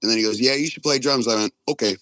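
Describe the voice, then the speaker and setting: A man in his forties telling stories casually as a podcast guest, rambling and halting, with plenty of swearing. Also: medium pitch, fairly bright tone, no room sound. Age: 40s. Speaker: podcast guest, punk band drummer.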